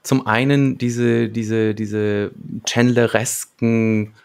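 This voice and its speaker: A man's voice: fairly low pitch, fairly even intonation, extremely slow, creaky, a little mellow, clean tone. A man in his thirties, a podcast co-host talking analytically and casually, with hesitant, reflective phrasing into a close microphone. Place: small book-lined home room, indoors, remote video call. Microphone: large desk microphone close up, with headphones.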